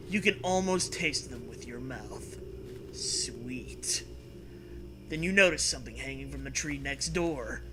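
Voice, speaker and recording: A man's voice: a little high, rough recording. A man co-hosting a comic read-aloud, playful and given to exaggerated emphasis between bits of banter. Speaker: co-host reading aloud.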